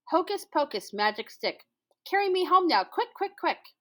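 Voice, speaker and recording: A woman's voice: extremely high pitch, very animated, moderate pace, voice pushed up. A woman voicing a lazy, boastful male spider in a children's folktale, bossy and dramatic, with emphatic commands. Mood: commanding.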